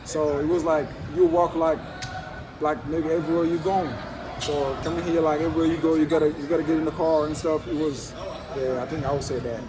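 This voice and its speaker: A teenage basketball recruit from Senegal, answering interview questions in a halting, casual way, full of fillers. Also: male voice, on the high side, level tone, background noise. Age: teens. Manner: halting, many fillers like um and you know.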